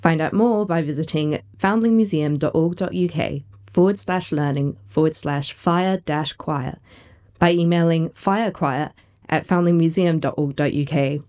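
A woman's voice: low-pitched, a little slow, dark tone. A woman presenting a scripted events listing on community radio.

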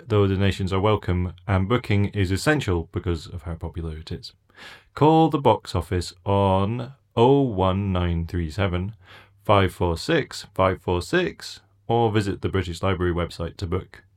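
A man reading a scripted events listing as a community radio presenter. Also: low voice.

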